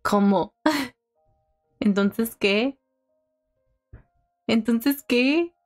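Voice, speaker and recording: A woman's voice: fairly high pitch, very slow, faint music behind. A woman casually commenting as she reacts to a video, drawling short murmured asides.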